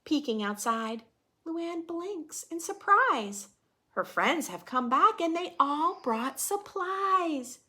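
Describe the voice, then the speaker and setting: Animated woman reading a storybook aloud, unhurried. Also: sits high. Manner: dramatic, playful, asks the listener questions. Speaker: children's read-aloud teacher. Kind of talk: expressive storybook read-aloud with teaching asides.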